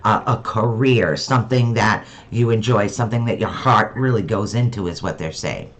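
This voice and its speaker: A soft-spoken man hosting a radio call-in show and giving a psychic reading in a reassuring way, with frequent ums. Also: lowish voice. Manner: reassuring, fills pauses with um.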